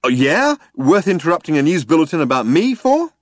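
A man voicing a vain, insecure, cocky spaceman in an audiobook, excitable and acting rather slow on the uptake. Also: a little high, dry recording, studio quality, pitched above the performer's own voice. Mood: indignant, vain. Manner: cocky, excitable, drawn-out bursts. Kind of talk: audiobook character voice.

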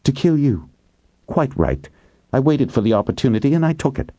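A man voicing a grieving middle-aged woman set on revenge in an audiobook, calm and deliberate. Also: mid-range voice, no room sound, highs cut off, voice pushed up. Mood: cold resolve. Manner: calm, murmuring, deliberate, snapping when challenged.

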